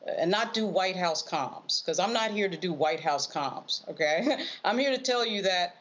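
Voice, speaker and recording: A woman's voice: lowish voice, animated, normal speed, a bit bright, highs cut off. A woman giving unscripted political commentary on a panel, speaking emphatically and repeating phrases for stress.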